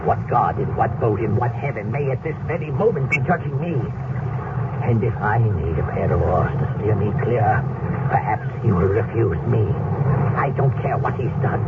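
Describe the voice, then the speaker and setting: A man's voice: medium pitch, recorded from a distance, close to an everyday voice. An older actor playing a kindly, hesitant merchant and shipowner in a vintage radio drama, stammering and repeating his words. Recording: old lo-fi radio broadcast, heavy hiss, off-mic.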